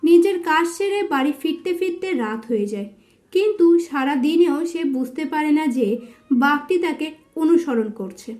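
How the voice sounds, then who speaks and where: A woman with a high, mellow voice narrates a scripted fairy tale. She tells it as a storyteller for a cartoon story, keeping a soft, rounded tone. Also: expressive, measured pace.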